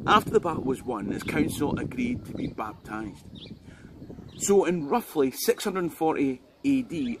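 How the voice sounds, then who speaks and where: A Scottish man and history tour guide, giving an informal, jokey history talk to the viewer. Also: medium pitch. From Scotland. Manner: jokey and conversational, with asides to the viewer. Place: outdoors on a tidal island. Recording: loud background noise.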